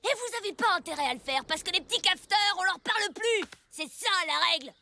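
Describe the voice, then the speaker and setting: A woman's voice: very high voice, lively intonation, fast, bright, forceful tone, a little hiss or hum, full character voice. A woman voicing a cocky, teasing little boy bully in a cartoon, with a mocking, theatrical manner. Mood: cocky defiant. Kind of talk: French cartoon dub acting.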